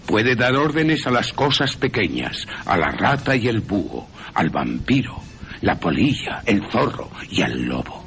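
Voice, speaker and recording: A man with an accent from Spain narrating a horror story for radio in a theatrical, suspenseful way with long pauses. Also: fairly low pitch, big pitch swings, a bit bright, slight background noise. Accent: Spanish from Spain. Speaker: radio drama narrator and presenter. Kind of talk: dramatic scripted horror narration.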